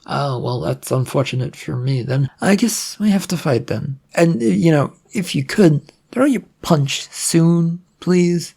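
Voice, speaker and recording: A man as a wry, gravelly reluctant hero. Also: fairly high pitch, expressive, measured pace, few pauses, darkish tone, exaggerated voice. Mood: resigned. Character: weary, sarcastic, reluctant would-be hero, battered young man.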